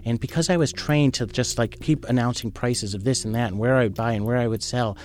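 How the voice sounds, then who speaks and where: A man's voice: mid-range voice, fairly quick, a little mellow, a little hiss or hum. A man, a journalist and former trader, telling a personal story in a candid, conversational way.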